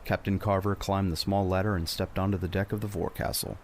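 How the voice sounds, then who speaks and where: A man's voice: low-pitched, level tone, quick pace, a little mellow, slight background noise. A man narrating a dramatic scripted adventure story as a storyteller.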